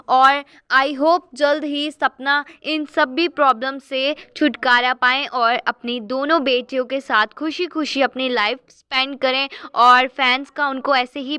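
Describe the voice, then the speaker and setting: A woman's voice: high voice, bright, forceful tone, no room sound. A woman hosting a gossip channel, chatting casually as she reads out viewer comments and keeps addressing her viewers as guys.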